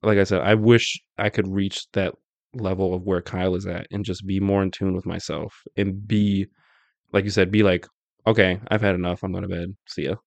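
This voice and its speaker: A man's voice: low voice, little pitch movement, moderate pace, creaky, no echo. A young man hosting a casual podcast chat. He talks in a laid-back way, laughs often and restarts his phrases. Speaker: podcast host. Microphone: podcast mic.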